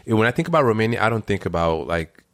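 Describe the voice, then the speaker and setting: A man's voice: lowish voice, big pitch swings, fast, no echo, clean studio sound. A young man co-hosting a podcast in casual chat, laid-back and laughing easily. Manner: laid-back, laughs, acts out his own lines. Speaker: podcast co-host.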